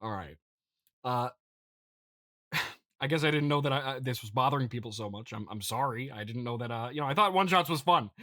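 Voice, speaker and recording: A man hosting a comedy podcast, improvising a halting, mock-earnest monologue in which he stammers and repeats his words. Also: mid-range voice, animated, bright, forceful tone, no echo, studio quality. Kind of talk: improvised comedic monologue reading listener feedback.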